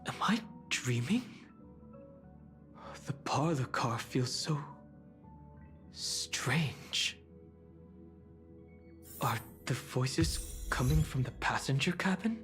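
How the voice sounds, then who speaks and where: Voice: medium pitch, animated, drawn-out words, long pauses, soft background music. An actor playing a dazed, puzzled young traveler in a video game, musing hesitantly aloud. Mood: dazed, uncertain.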